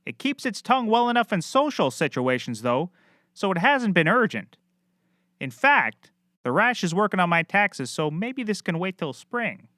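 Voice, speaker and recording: A man's voice: high voice, very animated, normal speed, bright, forceful tone, no echo, clean studio sound, full character voice. A man playing a cocky, clueless small-time private eye in a scripted comedy, glib and rambling. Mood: glib, excitable. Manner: glib, rambling, self-assured.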